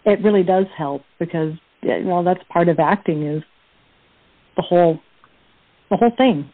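A woman chatting casually as an actress in an interview, halting as she thinks aloud, on a call. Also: on the low side. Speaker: radio play actress, interview guest. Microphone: computer or phone. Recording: thin phone-like line, roomy echo.

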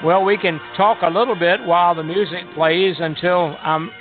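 A man's voice: high-pitched, fairly even intonation, moderate pace. A man hosting a casual live radio chat in a hesitant, folksy manner. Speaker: radio show host. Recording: tinny phone-like line, music behind.

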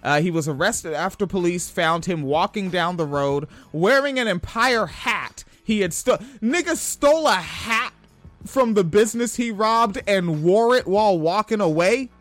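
A young man from Washington State with a high-pitched voice, hosting a podcast and reading news stories aloud with rambling, profane commentary and frequent laughs. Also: measured pace, a bit bright, soft background music. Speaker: podcast host.

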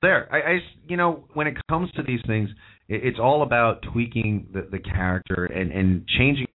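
Hoarse male host, opinionated and rambling, mid-range voice, lo-fi phone-quality audio. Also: mid-range voice, moderate pace. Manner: opinionated, rambling, repeats himself. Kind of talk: call-in wrestling talk show monologue.

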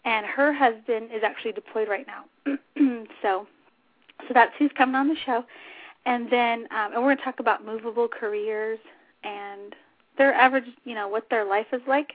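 Female talk show host, informal and unscripted, slightly husky and scratchy, dry phone-quality sound. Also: a little high, steady tone, moderate pace, dry recording. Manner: chatty, informal. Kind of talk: unscripted casual radio chat. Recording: tinny phone-line internet radio audio.

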